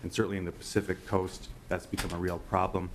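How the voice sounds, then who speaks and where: A man from Minnesota, an agriculture official, testifying formally to a committee in a halting way. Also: low voice, little pitch movement. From Stearns County, Minnesota. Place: legislative committee hearing room. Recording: clear background room noise.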